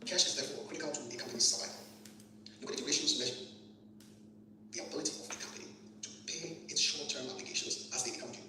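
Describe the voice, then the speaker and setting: A man delivering a measured, scripted lecture narration. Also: medium pitch, steady tone, pushed and bright. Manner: measured, explanatory. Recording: echoey distant playback with noise.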